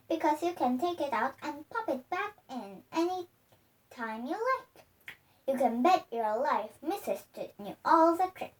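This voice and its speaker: Young female child reader, high voice, halting storybook delivery, picked up from a distance. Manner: careful, halting reading with misreadings. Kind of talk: storybook read-aloud. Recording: distant microphone.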